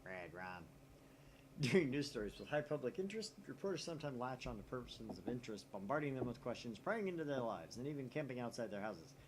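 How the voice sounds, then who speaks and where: A man's voice: mid-range voice, big pitch swings, quick pace, slight background noise. A man from the United States hosting a casual let's play, reading a visual novel aloud with jokey, opinionated asides.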